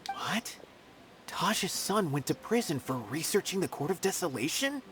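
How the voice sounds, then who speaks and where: A man's voice: fairly high pitch, very animated, unhurried, background noise. A man playing an earnest officer of the law in a video game, with conversational delivery. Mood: shocked. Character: earnest young male desert law officer. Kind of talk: video game character acting.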